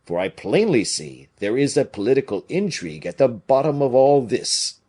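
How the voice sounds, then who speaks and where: A man reading an audiobook, voicing a big, blustering, naive but good-hearted nobleman with loud, emphatic exclamations. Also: medium pitch, sing-song, moderate pace, husky, voice pushed up. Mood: magnanimous, earnest.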